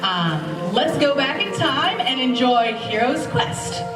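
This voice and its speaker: A woman's voice: high-pitched, big pitch swings, slow pace. A woman host introducing a play, giving casual instructions to an audience. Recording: echoey hall, distant mic, music behind.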